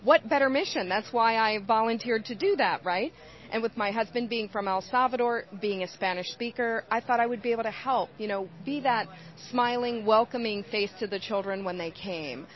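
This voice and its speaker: A woman's voice: fairly high pitch, moderate pace. A woman giving earnest, explanatory interview answers in the manner of a trainer. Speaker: interview guest, whistleblower. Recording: dull, lo-fi sound.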